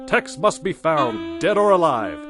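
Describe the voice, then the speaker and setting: An actor playing a dramatic radio serial announcer, reading a scripted recap in a measured way over guitar music. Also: male voice, a little high, very animated. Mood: suspenseful.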